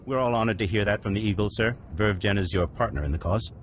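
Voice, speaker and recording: A man plays a deferential henchman scientist in a game. His deep voice moves along fast and matter-of-fact, businesslike throughout, over light noise. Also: natural voice. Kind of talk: scripted game cutscene acting.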